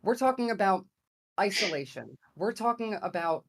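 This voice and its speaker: A woman's voice: mid-range voice, lively intonation, moderate pace. A woman with an American accent making a debate argument in a conversational way, sometimes searching for words. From grew up in America. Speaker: debate guest. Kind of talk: argumentative debate opening statement.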